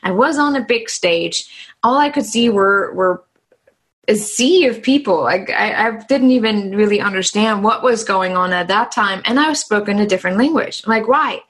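A woman's voice: mid-range voice, moderate pace, fairly bright tone. A woman from East Germany with a German accent, chatting loosely as an interview guest, often restarting her sentences. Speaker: guest, transformation coach. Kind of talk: casual interview storytelling.

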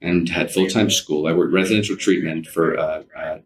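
Deep-voiced middle-aged man. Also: steady tone, moderate pace. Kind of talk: casual personal storytelling in an interview.

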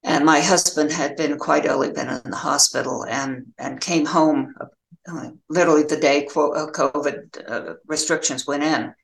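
An older American woman giving reflective interview answers as a novelist, in a halting, thoughtful way with pauses mid-phrase. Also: low-pitched, gravelly, reverberant, rough recording.

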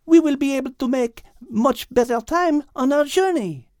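Gracious French female cat, storybook animal leader, played by a man in falsetto. High, measured, very dry recording. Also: darkish tone, no room sound. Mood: warm, curious.

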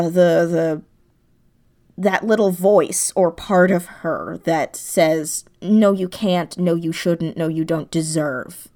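Effusive, slow-paced woman interview guest. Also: fairly low pitch, darkish tone. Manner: effusive, giggly, talks in halting bursts. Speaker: interview guest, audio drama creator. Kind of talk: casual interview chat.

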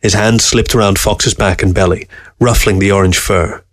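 Low-voiced man reading fiction, dry sound. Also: steady tone, moderate pace, dry recording. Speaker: story reader. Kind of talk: scripted fiction narration.

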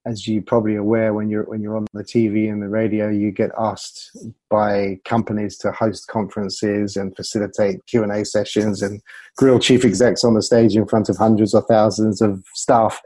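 A man's voice: on the low side, little pitch movement, normal speed, warm tone, no room sound, low-quality recording. A man speaking as a communication coach in an interview, explaining things through anecdotes. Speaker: communication coach and former broadcaster.

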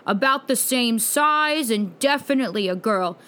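A woman's voice: on the high side, animated, normal speed, fairly bright tone. A woman voicing a haunted young war veteran in a storybook, casual, laconic and a little hesitant. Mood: hesitant, matter-of-fact.

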